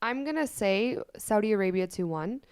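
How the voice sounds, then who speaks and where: A woman's voice: mid-range voice, expressive, moderate pace, a little mellow. A woman whose first language is Polish, co-hosting a sports podcast and giving blunt, outspoken opinions in casual chat. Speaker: co-host.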